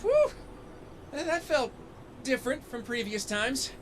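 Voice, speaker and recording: A man's voice: extremely high pitch, big pitch swings, measured pace, background noise, full character voice. A man playing a modest, eager young robot soldier in a video game, earnest and wry. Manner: earnest, wry, self-deprecating.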